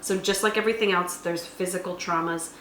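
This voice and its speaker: A woman's voice: fairly low pitch, fairly even intonation, fairly quick, a bit bright. A woman hosting a casual explainer, talking through tabletop game rules. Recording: echoey room, faint background noise.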